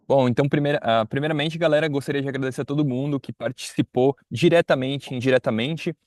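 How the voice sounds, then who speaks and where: A man's voice: mid-range voice, quick pace, a little mellow, no room sound, studio quality. A young man with a Brazilian accent giving informal interview answers, hesitant and often rephrasing himself. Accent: Brazilian Portuguese. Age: young adult. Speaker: student filmmaker interviewee.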